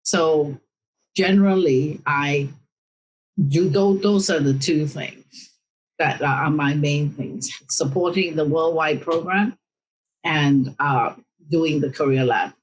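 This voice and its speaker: A woman's voice: sits low, slow pace, breathy edge, low-quality recording. A woman guest on a talk show explaining her work earnestly, often repeating words. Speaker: program advisor, guest.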